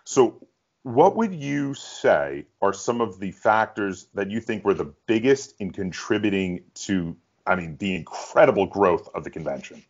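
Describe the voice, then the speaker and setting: A man's voice: on the low side, big pitch swings, measured pace. A middle-aged man hosting a casual interview, talking as a fan of the subject. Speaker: interviewer, podcast host. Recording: dull lo-fi sound.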